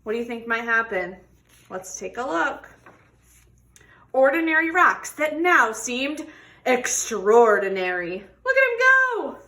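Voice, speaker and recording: A woman's voice: fairly high pitch, sing-song, slow, sharp tone. A woman reading a children's picture book aloud expressively, stretching words for emphasis and putting questions to young listeners. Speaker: read-aloud storybook reader.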